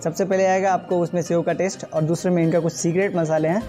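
A young man with a Bihari Hindi accent doing a casual, enthusiastic food review in a restaurant, talking fast with lots of fillers. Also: high-pitched, little pitch movement. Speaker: food vlogger. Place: restaurant indoors. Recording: loud background music.

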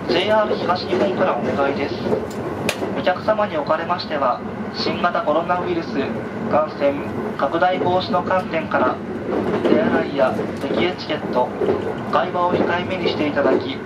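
A man working as a train conductor, making a formal, very polite announcement to passengers over the onboard speakers. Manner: formal, very polite, measured station-by-station delivery. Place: inside a train carriage, heard over the speakers. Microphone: announcement over PA, captured by a handheld camera. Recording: muffled train PA, distant, loud carriage noise. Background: loud train rumble and ambience.

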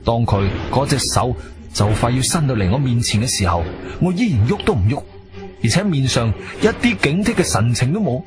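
A man's voice: mid-range voice, big pitch swings, fairly quick, lightly acted. An actor playing a composed, wronged adventurer who tells his own story in a radio drama, stern and dramatic. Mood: tense, controlled. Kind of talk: first-person radio drama narration and dialogue. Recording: music behind the voice.

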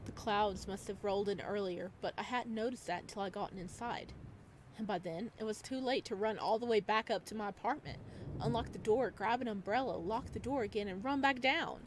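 A woman's voice: medium pitch, brisk, background noise. A woman hosting a gaming video, reading visual novel narration expressively with casual asides.

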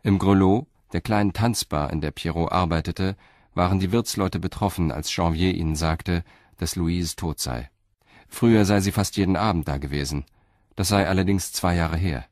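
A man narrating a crime radio drama in an even, measured way. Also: low-pitched, no echo, clean studio sound. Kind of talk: scripted narration.

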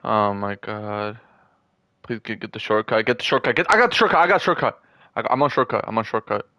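A man's voice: lowish voice, fairly quick, pushed and bright, no echo. A young man in casual gaming chat with a friend, joking and trash-talking and often repeating his words. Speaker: gamer.

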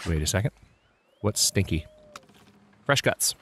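Deep guy chatting through a game he's playing, a bit stuffy nosed, faint hum behind. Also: animated, measured pace, fairly bright tone. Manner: chatty, thinks aloud, frequent restarts. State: slightly congested. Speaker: let's play host. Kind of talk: casual gameplay commentary.

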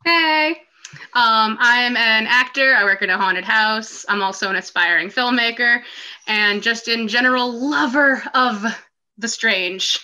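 Woman, high pitch, very sharp bright tone, casual, video call. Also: a little slow, poor audio. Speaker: guest, actor. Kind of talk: casual self-introduction.

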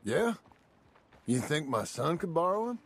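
An actor playing a patient middle-aged American cowboy ranch hand in a video game, with a gravelly voice and a reassuring drawl. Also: male voice, on the high side, big pitch swings, a little slow, heavily acted. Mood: calm, reassuring. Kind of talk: naturalistic video game cutscene acting.

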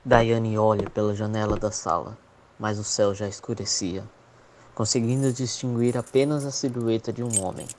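A man's voice: fairly low pitch, fairly even intonation, normal speed, a little mellow, slight background noise. A man reading scripted, dramatic narration as the narrator of a radio soap opera. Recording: faint music behind narration.

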